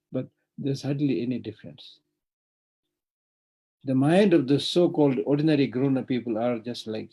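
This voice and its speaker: Male Buddhist teacher, husky mellow voice, earnest teaching talk. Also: mid-range voice, poor audio. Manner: earnest, repeats phrases, frequent "you know". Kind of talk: dharma teaching. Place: online teaching.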